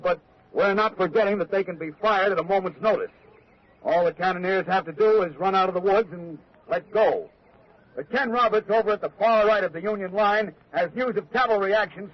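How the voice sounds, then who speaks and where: An actor playing a watchful radio reporter, describing the scene formally and deliberately in 1940s broadcast drama style. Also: male voice, high voice. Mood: watchful, tense. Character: watchful male radio reporter observing enemy lines. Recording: muffled old 1940s radio recording.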